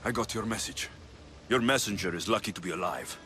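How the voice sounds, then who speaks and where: Casting: commanding warrior, short fuse, low pitch with a sharp, forceful edge. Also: male voice, a little fast, slight background noise. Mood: firm, calmer. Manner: blunt, commanding. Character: hot-tempered, battle-hardened Greek mercenary warrior. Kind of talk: video game dramatic acting.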